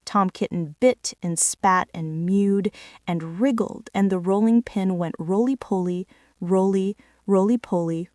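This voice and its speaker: A woman narrating a children's bedtime story with measured, expressive, deliberate phrasing. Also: mid-range voice, no room sound, studio quality. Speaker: storyteller reading a children's book aloud. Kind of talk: scripted storybook narration, bedtime read-aloud.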